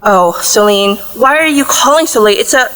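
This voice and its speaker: A woman acting as an anxious, possessive mother in an audio play, with a theatrical, deliberate delivery. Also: a little high, animated, noisy background, lightly acted. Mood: worried. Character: anxious, possessive plant-tending mother of a teenager.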